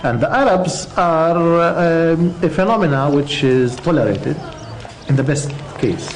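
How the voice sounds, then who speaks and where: A man's voice: a little high, a little slow, soft background music. Man explaining with hesitant fillers. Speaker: interviewee.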